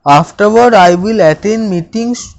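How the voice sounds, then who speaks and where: A speaker, reading self-affirmations aloud slowly and deliberately, stammering with repeated words and restarts. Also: medium pitch, darkish tone, dull audio. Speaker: speech practice coach.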